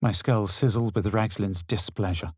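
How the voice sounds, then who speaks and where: A man voicing a haughty, cool young adventurer hero in a video game, theatrical and measured, with a husky voice. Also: lowish voice, a little mellow, no room sound, studio quality. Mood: brooding, measured. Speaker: video game player character. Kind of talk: scripted game voice lines, dramatic narration and barks.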